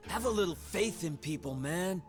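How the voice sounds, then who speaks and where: An actor playing a feisty, loyal yakuza underling in a video game, brash and slangy. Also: male voice, high-pitched, animated, normal speed, soft background music. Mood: earnest. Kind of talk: video game cutscene acting.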